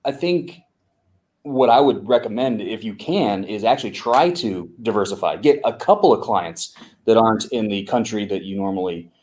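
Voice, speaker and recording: A man co-founder of an agency, answering interview questions in an unhurried way with many fillers and restarts. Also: on the low side, lively intonation, darkish tone.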